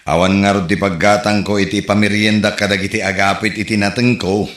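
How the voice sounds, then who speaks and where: Comedy village husband, male actor: Filipino accent, casual, persuasive, on the deep side, roomy sound. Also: little pitch movement, brisk, fairly bright tone, natural voice. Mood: coaxing. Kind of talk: Ilocano comedy drama dialogue. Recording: echoey room recording.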